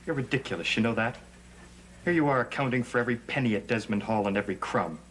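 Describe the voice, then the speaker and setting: A man's voice: medium pitch, fast talker, lightly acted. An actor in a gothic soap opera playing a scornful young man, sarcastic and defiant toward his stepfather. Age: young adult. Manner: sneering, sarcastic. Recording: loud dramatic music under the dialogue.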